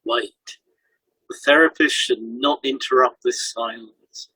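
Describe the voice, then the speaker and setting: A man's voice: medium pitch, fairly even intonation, takes it slowly, sharp tone, slightly rough, no room sound, poor audio. A man co-hosting a podcast as a hypnotherapist, reading scripted explanations in an instructional way.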